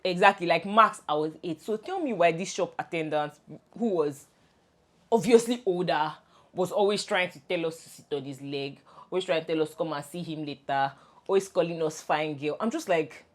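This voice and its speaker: A woman's voice: lowish voice, moderate pace. A young Nigerian woman co-hosting a casual podcast, telling stories with laughter and many restarts. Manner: animated storytelling, laughs often, many restarts. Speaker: podcast co-host. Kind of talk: casual chat between best friends.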